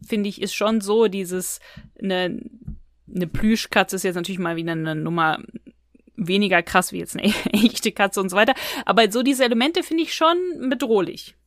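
A woman's voice: mid-range voice, normal speed, dry recording, clean studio sound. A woman co-hosting a podcast, giving her opinions in a casual, conversational review chat. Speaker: podcast co-host. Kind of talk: casual review chat about audio dramas.

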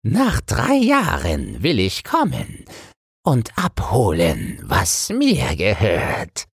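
A man voicing a sly, menacing devil in a fairy tale, scornful and commanding, with drawn-out words and a husky rasp. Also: lowish voice, huge pitch swings, no echo, clean studio sound, voice pushed up, full character voice. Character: sly menacing devil posing as old stranger.

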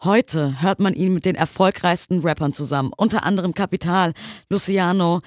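A woman hosting a radio interview, lively and chatty, with frequent laughs. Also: lowish voice, moderate pace, no room sound, clean studio sound. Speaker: radio show host.

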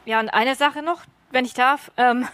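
A woman's voice: high-pitched, steady tone, moderate pace, fairly bright tone. A woman, a workshop participant, speaking in long run-on sentences with fillers. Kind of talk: panel discussion answer.

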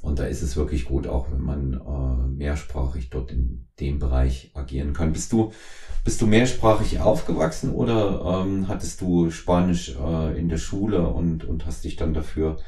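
Middle-aged male interviewer, very deep pitch, wordy and hesitant, conversational, echoey room sound. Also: moderate pace, mellow. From East Germany. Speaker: podcast host. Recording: roomy, echoing voice recording.

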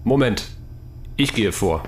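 A man playing a brisk, practical explorer in a German science-fiction audio drama, urging his companions along. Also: lowish voice, lively intonation. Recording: faint background noise.

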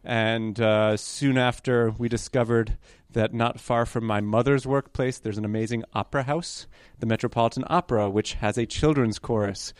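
A man's voice: medium pitch, steady tone, normal speed, few pauses, clear, resonant tone, no echo, clean studio sound. Chatty, self-correcting New York man. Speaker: guest conductor candidate. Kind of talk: conversational storytelling interview.

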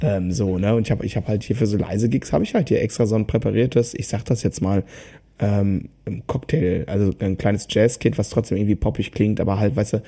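A man with a low, very mellow and relaxed voice. He talks in a casual podcast style, rambling and pausing as he thinks out loud. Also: vocal fry. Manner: rambling, halting, thinking aloud. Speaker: podcast co-host, musician.

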